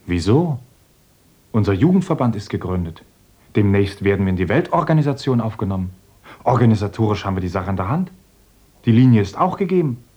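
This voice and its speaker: A man's voice: on the low side, big pitch swings, a little fast, mellow, slightly rough. An actor playing an idealistic young male teacher in a radio play, earnest and lecturing. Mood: fervent. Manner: earnest, lecturing, grows fervent. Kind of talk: radio drama acting.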